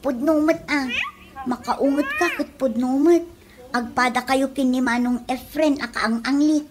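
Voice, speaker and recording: A woman's voice: high voice, measured pace, noisy background. A woman playing a pushy, blunt peddler in a comedy radio drama, speaking with an Ilocano accent in a coaxing, teasing way. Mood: bluntly teasing. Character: pushy, blunt female peddler of perfume and lotion.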